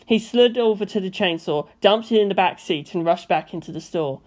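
A woman's voice: medium pitch, brisk, a little mellow. A woman reading scripted fan fiction narration as an audiobook narrator.

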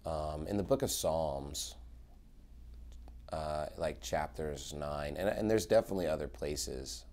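Male pastor in his thirties, extremely low voice, interviewer asking careful questions. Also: unhurried, mellow. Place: small office, indoors.

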